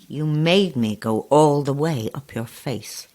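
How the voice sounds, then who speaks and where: Very deep-voiced, sinister ancient old woman. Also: warm tone. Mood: haughty, dismissive. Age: older. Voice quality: husky, gravelly, sometimes hissing whisper. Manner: slow, relishing, theatrical, condescending endearments. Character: sinister ancient child-snatching old woman, sly and sweetly menacing. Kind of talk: horror audio drama acting.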